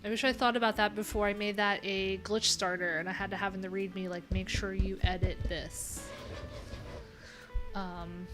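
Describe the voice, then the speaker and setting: A woman's voice: mid-range voice, level tone, moderate pace. A woman in her thirties hosting a casual live coding stream, playful and thinking aloud in fragments. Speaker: live-stream host and web developer. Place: indoor room, live stream. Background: guitar music.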